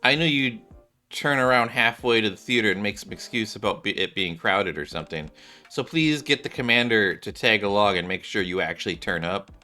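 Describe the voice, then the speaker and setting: A breezy, playful woman scientist from a game acted out by a man, bossy but caring. The voice is bright and lifted above his usual pitch, teasing and emphatic, over background music. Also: a little fast. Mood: teasing concern.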